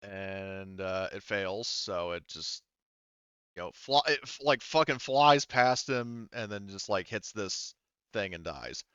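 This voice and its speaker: A man with a smooth, fairly low voice acts as game master for a casual tabletop session. He thinks aloud and pauses often while sorting out rules. The sound is close and dry but somewhat dull. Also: expressive, fairly bright tone, dry recording.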